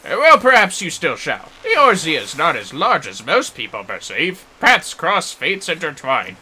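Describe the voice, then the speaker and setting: A man's voice: a little high, wildly swooping pitch, measured pace, pushed and bright, breathy edge, voice pushed up, exaggerated voice, poor audio. A man voicing an earnest, wordy warrior mentor in a fantasy game, with a theatrical, formal storytelling delivery. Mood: wistful. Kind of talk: fantasy game quest dialogue read aloud.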